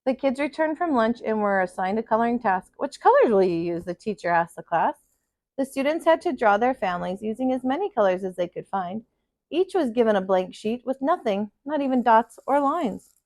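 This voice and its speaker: A woman reading a children's picture book aloud in an expressive kindergarten teacher's style. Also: fairly high pitch, brisk, no echo. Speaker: kindergarten teacher, storybook reader.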